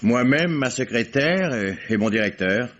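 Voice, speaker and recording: An actor playing a stern, curt factory owner in an old French radio mystery, answering formally and drily. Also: male voice, medium pitch, lively intonation, a little slow, fairly bright tone. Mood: dry, formal. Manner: clipped, formal, dry. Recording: dull old radio drama recording.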